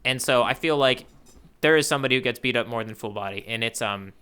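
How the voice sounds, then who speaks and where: A man's voice: medium pitch, fast, bright, forceful tone, clean tone. A man co-hosting a podcast as a game contestant, chatting casually and thinking aloud as he wavers between guesses. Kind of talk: casual chat, playful quiz banter.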